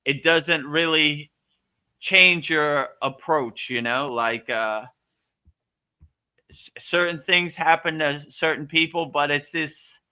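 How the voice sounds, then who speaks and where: An American man you'd hear at an online meditation group's question hour, slightly high, sharply bright voice, trading laid-back stories with students. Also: takes it slowly, smooth. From Miami, Florida, United States. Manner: laid-back, colloquial, anecdotal. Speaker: spiritual teacher answering student questions. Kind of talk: casual spiritual question-and-answer talk.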